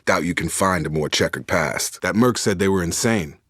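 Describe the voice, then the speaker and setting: A dutiful soldier in a sci-fi video game. His voice sits low and is recorded very dry. He speaks in clipped, matter-of-fact military phrases and comes across as steady and loyal. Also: normal speed, dry recording. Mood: steady, serious. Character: soldierly, steady, dutiful adult male space operative. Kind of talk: scripted video game dialogue.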